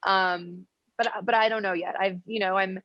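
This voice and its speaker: A woman in her twenties from the United States, a guest athlete giving measured, thoughtful answers in a podcast interview, with frequent pauses to think. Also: mid-range voice, steady tone, no room sound, lo-fi sound. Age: 20s.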